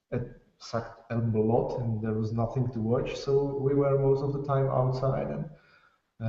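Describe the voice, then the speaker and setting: A man from the Czech Republic with a Czech accent, a software developer speaking English as a guest on a video call, halting and restarting often. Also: mid-range voice. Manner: halting, many restarts, soft laughs. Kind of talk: casual interview on a video call. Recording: distant, roomy, dull web-call audio.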